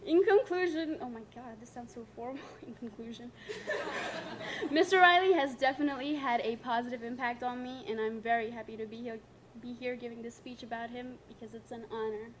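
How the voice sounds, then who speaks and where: A woman's voice: a little high, fairly even intonation, fairly quick, noisy background. A young woman, giving a prepared tribute speech as a student, giggly and self-conscious between lines. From Nepal. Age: late teens.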